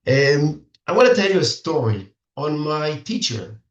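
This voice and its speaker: A middle-aged man with an Israeli accent gives an earnest, halting lesson to parents and often restarts his sentences. Hebrew is his first language, so his English phrasing is careful. He sounds distant and roomy on a muffled video call. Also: a little high, very animated. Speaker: dating coach for singles.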